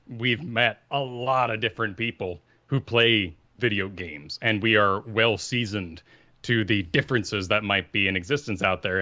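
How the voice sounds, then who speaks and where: Deep-voiced, forceful podcast co-host. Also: male voice, dry recording, highs cut off. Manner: energetic, assertive, lecturing. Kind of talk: casual gaming podcast talk. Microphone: studio microphone.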